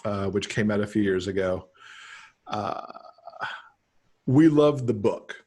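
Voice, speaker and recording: A middle-aged man chatting casually as a podcast guest on a video call, pausing to think as he goes. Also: fairly low pitch, expressive, darkish tone, slightly rough. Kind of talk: casual conversational interview. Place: home room, on a video call.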